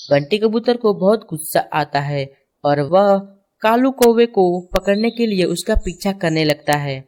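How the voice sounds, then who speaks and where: A woman's voice: lowish voice, a little mellow. A woman reading scripted children's stories and puzzles, explaining things and speaking directly to the viewers. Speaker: narrator.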